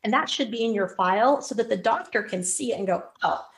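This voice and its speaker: A woman guest in an interview, telling lively personal stories with frequent restarts. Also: fairly high pitch, fairly quick. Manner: animated storyteller, frequent restarts. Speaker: podcast guest. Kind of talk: conversational interview.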